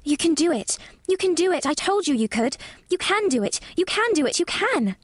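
A fervent young girl from a storybook, voiced by a woman. Her voice is extremely high and moves around in lively swings. She mutters with insistent urgency. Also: moderate pace, no echo, studio quality. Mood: urgent, willing.

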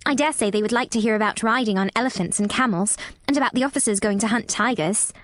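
A woman's voice: fairly high pitch, fast talker, no room sound, lightly acted. A woman voicing a reserved, curious and somewhat imperious little girl with blunt, proper speech in a storybook reading. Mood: lofty, generous.

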